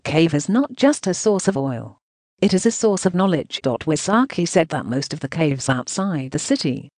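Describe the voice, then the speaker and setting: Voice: medium pitch, big pitch swings, normal speed, darkish tone, dry recording, studio quality. A synthetic text-to-speech voice reading translated news narration in a choppy way, speaking punctuation marks aloud.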